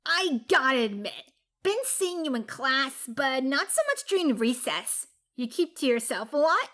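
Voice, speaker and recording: A woman's voice: high-pitched, sing-song, unhurried, fairly bright tone, exaggerated voice. A woman voicing a bold, friendly young pegasus schoolgirl in a cartoon story, with a brash, casual, confident manner. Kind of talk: animated cartoon-style character acting.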